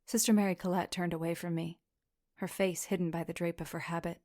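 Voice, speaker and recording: Woman acting a lower-voiced, wounded, sardonic young narrator. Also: level tone, brisk, warm tone, no echo, studio quality, lightly acted. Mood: reflective, subdued. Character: wounded, jumpy, sardonic female narrator recalling her schoolgirl self. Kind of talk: first-person audio drama narration and dialogue.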